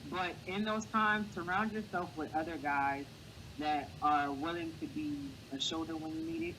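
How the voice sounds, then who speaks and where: A man's voice: animated, moderate pace. A man with a light, boyish voice, speaking earnestly as a guest in a casual interview and giving encouraging advice. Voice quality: high, boyish voice. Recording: clear background noise.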